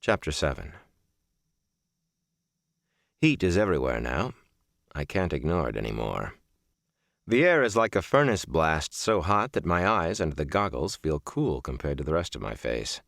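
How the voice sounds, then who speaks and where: A man reading a first-person literary book as a narrator, with measured, deliberate phrasing and emphatic stresses. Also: very deep voice, very animated, creaky, fairly bright tone, no room sound, studio quality. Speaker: audiobook narrator. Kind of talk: scripted audiobook narration of a reflective first-person memoir novel.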